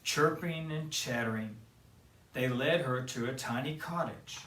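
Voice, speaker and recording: Man reading a kids' storybook, very slow, gentle, roomy room sound, mic set back. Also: medium pitch, recorded from a distance. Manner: slow, gentle read-aloud. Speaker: storyteller for kids. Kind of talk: reading a picture book aloud.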